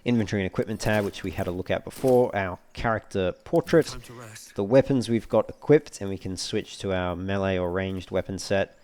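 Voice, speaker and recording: Low-voiced man giving game commentary. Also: fairly quick, few pauses, a little mellow, slight background noise. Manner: thinks aloud while reading menus. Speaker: let's player. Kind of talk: casual gameplay walkthrough commentary.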